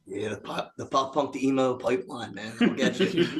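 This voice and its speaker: A raspy-voiced man from a band, joking and swearing in casual podcast chat, often acting out what people said. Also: medium pitch, animated, moderate pace. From Philadelphia area. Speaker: band member guest.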